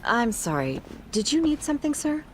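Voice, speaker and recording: An actress playing a polite, helpful school staff member in a video game, with a courteous delivery. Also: female voice, a little high, sing-song, moderate pace, slight background noise. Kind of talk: video game cutscene acting, English dub.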